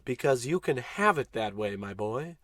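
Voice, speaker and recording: A man's voice: mid-range voice, lively intonation, moderate pace, a bit bright, no room sound. A man playing a pompous, callous American spaceship captain in a comic old-time radio play, bossy and grandiose with dry sarcastic asides. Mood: scheming, grandiose.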